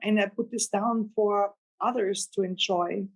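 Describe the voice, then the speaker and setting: A woman's voice: mid-range voice, fairly even intonation, measured pace, rough recording. A woman from Austria whose first language is German, speaking English with an Austrian accent, chatting earnestly as a guest novelist in an interview. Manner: earnest, rambling, laughs with delight. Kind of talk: casual interview chat.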